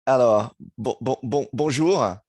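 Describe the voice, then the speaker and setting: Halting Brazilian man presenting slides. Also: mid-range voice, darkish tone, breathy edge, dry recording. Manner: halting, searching for words, frequent restarts. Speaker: guest speaker, board chairman. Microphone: remote video call.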